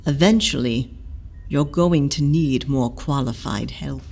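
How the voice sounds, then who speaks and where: A woman playing a blunt, teasing, motherly old matron healer with a creaky voice in an audio drama. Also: rumbling low, slow pace, mellow, faint music behind, exaggerated voice. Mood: wry, frank. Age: older. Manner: dry, blunt, scolding affection.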